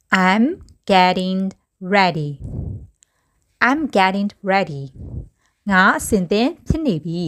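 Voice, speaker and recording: A woman teaching English phrases, repeating each slowly word by word and then giving a translation. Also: medium pitch, a bit bright. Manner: slow, deliberate, word-by-word repetition.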